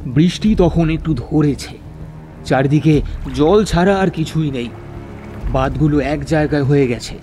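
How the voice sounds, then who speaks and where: A man's voice: fairly high pitch, unhurried, dark tone, faint music behind. A man telling a horror story as scripted, dramatic narration.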